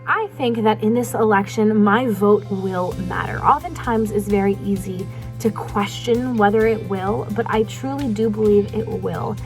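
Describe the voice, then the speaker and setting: A woman's voice: mid-range voice, normal speed, darkish tone. An eighteen-year-old woman from Virginia, a student giving earnest, articulate interview answers. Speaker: college student voter. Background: faint background music.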